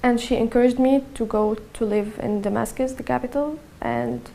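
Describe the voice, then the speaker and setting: A young woman, her voice mellow and touched with vocal fry. She talks in a flat, halting way, restarting phrases as she recounts her own story to camera. A faint hiss of noise sits under the recording. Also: fairly high pitch. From Syria. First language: Arabic. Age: early 20s. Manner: halting, soft-spoken, many restarts and fillers. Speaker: activist telling her story. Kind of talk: personal testimony to camera.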